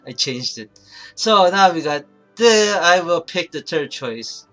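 A man presenting an informal software tutorial, thinking aloud in a halting, rambling way and correcting himself as he goes. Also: a little high, animated, faint music behind, low-quality recording. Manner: halting, rambling, self-correcting, mumbled asides. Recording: clear background noise, keyboard and mouse clicks.

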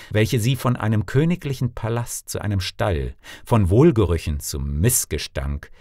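Low-voiced theatrical male storyteller. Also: a little mellow, dry recording, studio quality. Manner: theatrical, dramatic. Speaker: actor and storyteller. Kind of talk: expressive scripted fairy-tale narration.

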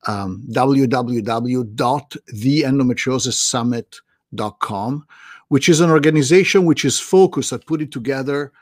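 A middle-aged man with an Italian accent, presenting on a webinar in a chatty, animated way with many fillers and restarts, speaking over a video call from a home office. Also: medium pitch, no echo, studio quality. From Italy. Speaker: guest doctor presenting a webinar. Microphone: video call with desk mic.

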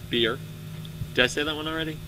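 A young man answering interview questions outdoors by a lake, relaxed and full of "you know" fillers, with guitar music behind. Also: fairly high pitch, fast talker, rough recording. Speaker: interviewee, hiker. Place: outdoors by a lake among trees.

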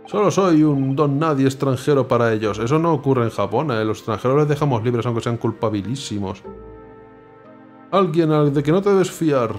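A man's voice: mid-range voice, expressive, normal speed, soft background music, close to an everyday voice. A man reading the lines of an earnest young male student abroad who feels wronged, in an indignant tone.

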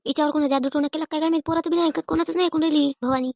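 A woman voicing a sharp-tongued, aggrieved elderly village mother-in-law in a cartoon comedy, scolding and complaining with a rural Maharashtrian accent. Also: sits high, steady tone, creaky, dry recording, clean studio sound. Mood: exasperated. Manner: scolding, complaining, rapid-fire. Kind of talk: animated family comedy acting.

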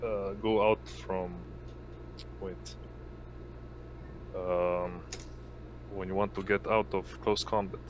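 A man running a tabletop game, talking casually and a little haltingly. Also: deep voice, flat delivery, noisy background. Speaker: game master.

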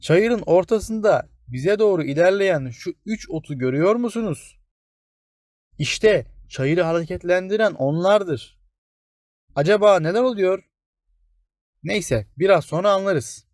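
A man's voice: high voice, animated, measured pace, a little mellow, no room sound, clean studio sound, pitched above the performer's own voice. A man voicing a tall, watchful, curious plane tree in a children's fairy tale. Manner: observant, curious.

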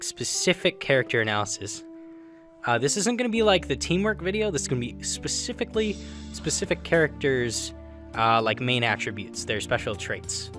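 A man hosting a gaming video, giving a scripted explainer in an informal, matter-of-fact way. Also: medium pitch. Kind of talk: scripted explainer narration of a game character ranking. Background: music behind the voice.

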